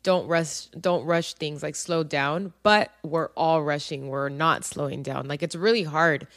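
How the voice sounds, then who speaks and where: Low-voiced woman chatting casually. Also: steady tone, a little slow. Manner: informal, reflective, chatty. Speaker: podcast co-host. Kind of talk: casual podcast conversation.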